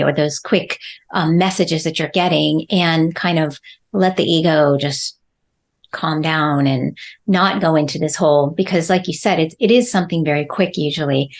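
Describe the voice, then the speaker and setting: A woman hosting a podcast, asking long, winding questions full of restarts and summing up what her guest says. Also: sits low. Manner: rambling questions with many restarts. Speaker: podcast host. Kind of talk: podcast interview.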